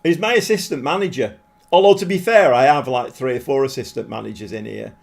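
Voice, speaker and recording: Chatty gravelly-voiced male streamer. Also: medium pitch, brisk. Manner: chatty, excitable, self-deprecating, reads out viewer chat. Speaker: streamer playing a football management game. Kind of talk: casual live commentary while chatting with viewers.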